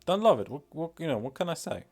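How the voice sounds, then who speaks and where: A man's voice: a little high, big pitch swings, fast, gravelly, darkish tone, faint music behind. A man with a Polish accent, a native Polish speaker, streaming casually and rambling with many fillers and self-deprecating remarks. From Poland. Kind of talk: casual live-stream commentary.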